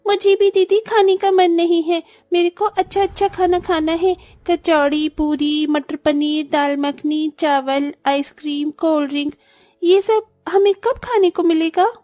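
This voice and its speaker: Woman voicing a high-pitched, plaintive little orphan girl. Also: steady tone, measured pace, warm tone, dry recording, soft background music. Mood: whining. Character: poor young orphan girls, plaintive little sisters. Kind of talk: scripted cartoon dialogue.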